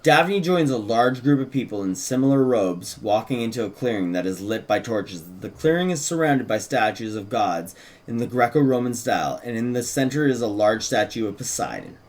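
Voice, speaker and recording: A man with a medium-pitched voice narrates a script. He reads settings and stage directions aloud in the clipped phrasing of a table read. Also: expressive, normal speed.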